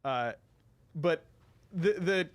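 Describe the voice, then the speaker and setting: A man's voice: a little high, animated, extremely slow, no room sound. A man hosting a casual video game showcase, commenting in an easygoing, approving way. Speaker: show host.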